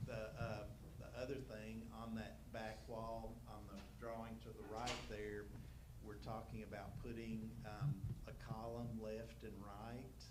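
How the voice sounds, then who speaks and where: A man's voice: fairly low pitch, little pitch movement, measured pace, hardly any pauses. A man explaining repair plans for an old building at a public meeting, speaking plainly with many ums and uhs. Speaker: architect presenting building repairs. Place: city council meeting room. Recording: noisy live-stream audio.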